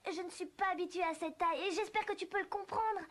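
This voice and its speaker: A woman voicing a polite, bewildered little girl in an animated fairy tale, hesitant and earnest as if talking to herself. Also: very high-pitched, fast. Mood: earnest, troubled. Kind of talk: French animated film dubbing.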